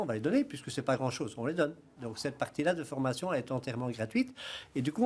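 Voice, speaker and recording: A man with a Belgian French accent, a guest in a studio interview, explaining his project in a relaxed way, often starting with "alors" and "ben". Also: a little high. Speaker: guest, founder of a self-build training project.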